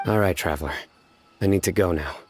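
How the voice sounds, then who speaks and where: An actor playing a stern, measured male enforcer in a video game, speaking formally. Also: low voice, soft background music, lightly acted.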